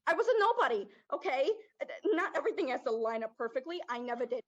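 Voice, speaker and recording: A woman's voice: high-pitched, sing-song, fast, breathy edge, dull audio, full character voice. A young woman playing a jaded male spirit in a girl's body, talking streetwise in a student stage play. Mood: bitter. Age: young adult. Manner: streetwise, drops grammar, calls listener kid. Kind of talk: student one-act stage acting.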